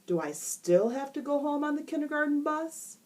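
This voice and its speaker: A sly little schoolgirl with a slow, sugary delivery , as a woman puts her on. Her voice sits a bit higher than the narration. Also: expressive, far from the mic. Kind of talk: storybook reading.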